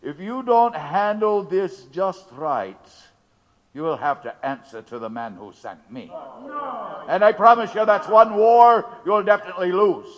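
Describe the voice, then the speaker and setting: An actor playing a scheming, commanding power broker in an audio drama. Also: male voice, high-pitched, big pitch swings, a little slow, highs cut off. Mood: imperious. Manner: commanding, calculating. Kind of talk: radio drama acting. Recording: reverberant hall-like room.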